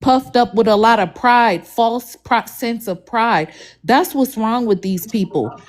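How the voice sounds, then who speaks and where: A woman on an online debate panel, scolding another guest in a blunt, forceful, profane way. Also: a little high, unhurried.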